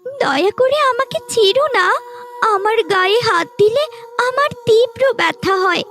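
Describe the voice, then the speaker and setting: Woman voicing a gentle, very high-pitched magic flower. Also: animated, moderate pace, exaggerated voice. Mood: pleading. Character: gentle magical talking flower, female, pleading and grateful. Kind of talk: Bangla fairy-tale cartoon dubbing.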